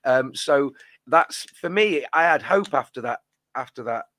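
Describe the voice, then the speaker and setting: Bright-voiced football fan, rambling. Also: male voice, mid-range voice, animated, a little slow, no echo. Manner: rambling, restarts often, drops to a mutter at phrase ends. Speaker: fan channel co-host. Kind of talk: post-match reaction chat.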